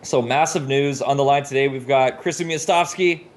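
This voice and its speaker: A man's voice: mid-range voice, normal speed. Chatty man hosting a casual podcast. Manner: chatty, informal. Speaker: podcast host. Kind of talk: casual video podcast talk. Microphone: Skype video call.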